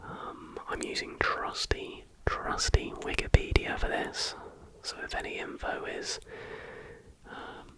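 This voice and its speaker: An ASMR host speaking entirely in a breathy whisper, chatty and informal. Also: slow. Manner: chatty, informal, joking asides.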